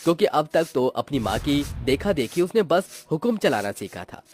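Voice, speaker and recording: A man's voice: on the high side, big pitch swings, normal speed, darkish tone. A man narrating a moral cartoon story in a scripted, melodramatic storytelling style.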